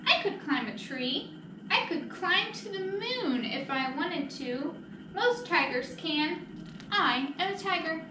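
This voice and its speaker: Woman reading as a small boastful mouse, high-pitched. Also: lively intonation, slow, full character voice. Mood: cocky, insistent. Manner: cocky, insistent. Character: small boastful stubborn mouse claiming to be a tiger. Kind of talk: picture-book read-aloud. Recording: echoey room, distant mic, noisy.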